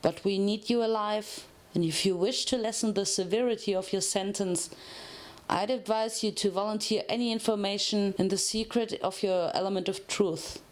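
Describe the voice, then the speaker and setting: Formal male pony interrogator read by a woman, measured, cold and menacing, cartoon fanfiction. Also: mid-range voice, fairly even intonation, natural voice. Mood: coldly threatening. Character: cold, formal grey stallion professor, interrogator. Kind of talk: dramatic fanfiction reading of a cartoon.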